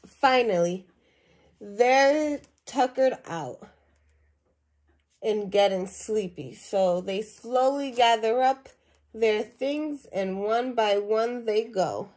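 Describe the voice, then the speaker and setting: A woman's voice: fairly high pitch, slow, a little mellow. A young woman reading a children's picture book aloud, adding simple questions and asides for young listeners. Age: young adult. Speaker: storybook reader. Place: small indoor room at home.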